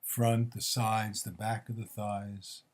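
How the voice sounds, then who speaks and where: A man leading a guided body scan meditation as a teacher, speaking in measured, gently instructing short phrases with pauses between them. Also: fairly low pitch, little pitch movement.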